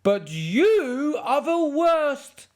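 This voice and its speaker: A woman's voice: high-pitched, big pitch swings, drawn-out words, darkish tone, voice pushed up, heavily acted. A woman voicing a furious, blustering man who scolds indignantly in a children's storybook. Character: furious, indignant grown man, wildlife television presenter.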